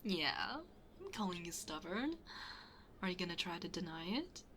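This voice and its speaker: A woman's voice: lowish voice, big pitch swings, normal speed, fairly bright tone, a little hiss or hum. A woman playing a shy, flustered best friend hiding a crush in an intimate ASMR roleplay, hesitant and often dropping to a near-whisper. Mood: teasing, resigned.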